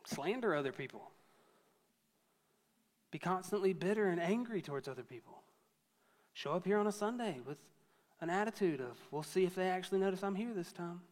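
A man's voice: high voice, lively intonation, brisk, pauses often, big-room sound. A man in his thirties preaching a sermon to a church, conversational and storytelling with self-deprecating humor. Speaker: pastor.

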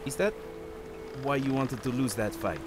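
A man's voice: mid-range voice, normal speed, natural voice. An actor playing a chatty, teasing male travelling companion with a wry manner in a fantasy audio drama. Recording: clear rain-like background noise.

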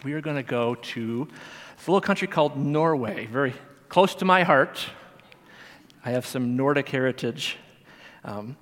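Man teaching in a reverberant church. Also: medium pitch. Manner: conversational, chatty, with frequent fillers and restarts. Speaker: Sunday school teacher. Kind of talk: sermon. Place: church sanctuary. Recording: long hall reverb.

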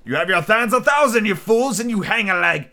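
A man's voice: a little high, sing-song, moderate pace. A man voicing a furious, greedy blind old pirate ringleader who barks orders and curses in a raised voice in an adventure storybook. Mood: bitter, scornful. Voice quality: loud, raised, shouting.

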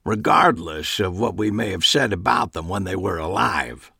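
A man voicing a quiet, gentle older uncle. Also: sits low, animated, moderate pace, vocal fry, husky, no echo, clean studio sound, exaggerated voice. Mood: solemn.

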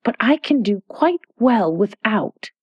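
Woman as fussy old queen, breathy and cooing, pitch swings wide. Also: very animated, slow, dry recording, highs cut off, full character voice. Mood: whispering.

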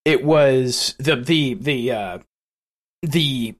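A man's voice: mid-range voice, very slow. A man co-hosting a podcast in casual chat, rambling and laughing often between thoughts. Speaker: podcast co-host.

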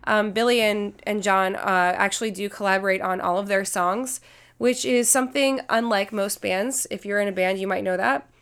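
A woman's voice: medium pitch, normal speed, fairly bright tone, clean tone. A young woman hosting a podcast, upbeat and chatty with many "um" fillers, her voice congested from a flu. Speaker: podcast host. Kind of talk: podcast intro and interview.

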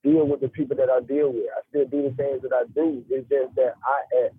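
A man giving a casual radio interview as a guest, talking haltingly and peppering his speech with "you know" and "man". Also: medium pitch, level tone, breathy edge, no room sound. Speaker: guest, rapper and music business man. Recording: tinny phone line.

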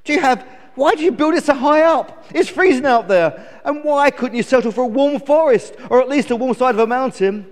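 A man's voice: very high-pitched, lively intonation, fairly quick, hardly any pauses, voice pushed up. A man voicing a bossy, ungrateful newborn eagle chick in a live children's story, complaining and scolding. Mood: indignant complaining. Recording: echoey hall with long reverb.

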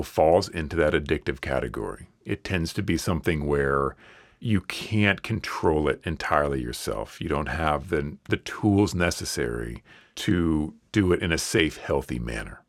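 Man, very deep voice, hoarse from a cold, earnest reassuring podcast host, dry close recording. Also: no room sound. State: losing his voice from a cold. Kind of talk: solo podcast monologue.

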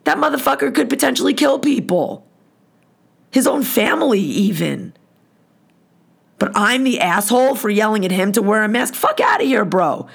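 A hoarse woman hosting a podcast, delivering a blunt, profane, heated rant. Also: on the high side, lively intonation, normal speed. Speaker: podcast host.

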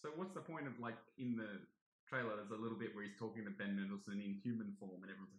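A man's voice: fairly low pitch, fast talker, reverberant, low-quality recording. A man in his twenties co-hosting a podcast, chatting casually and joking about pop culture. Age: 20s. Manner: jokey, riffing on pop culture. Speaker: podcast co-host. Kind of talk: casual chat. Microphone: podcast mic.

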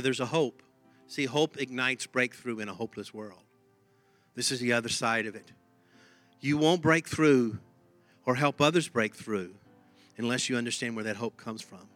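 A man's voice: mid-range voice, sharp tone, dry recording. A man with a Texan accent preaching a sermon in an emphatic, conversational way, often repeating his phrases. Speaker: pastor.

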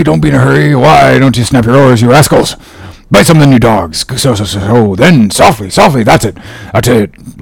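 A man's voice: mid-range voice, creaky, slightly rough, no room sound. A man voicing a jocular whaling mate who coaxes and scolds his oarsmen in a drawling way, mixing fun and fury. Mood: rousing, teasing, then soothing.

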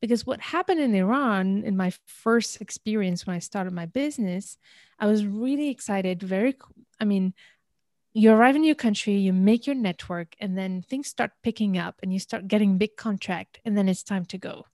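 A woman's voice: mid-range voice, normal speed, a little mellow, no echo, studio quality. A woman in her thirties from Algeria, raised in many countries, telling her story conversationally and with animation as an interview guest. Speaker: interview guest, business consultant.

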